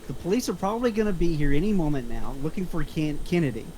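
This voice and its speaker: A man's voice: fairly high pitch, big pitch swings, fast, dark tone, a little hiss or hum, poor audio. A man playing a nervous, pleading male Irish hitman who is insistent and out of his depth. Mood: reasoning. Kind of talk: script table read.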